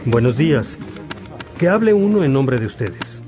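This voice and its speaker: A man acting as a kindly, wise bishop and judge in a radio drama, speaking in a measured, paternal, pastoral way. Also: medium pitch, sing-song, lightly acted. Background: music.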